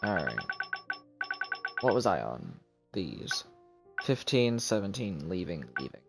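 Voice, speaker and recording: Male game streamer, fairly low register, offhand self-directed muttering, music playing clearly behind him. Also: very animated, slow pace. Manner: muttering to himself, counting aloud. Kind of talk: casual live gameplay commentary.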